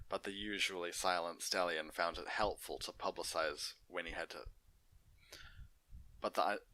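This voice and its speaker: A man's voice: deep voice, pushed and bright, dry recording, rough recording. A man narrating and hosting an unedited fan fiction story reading.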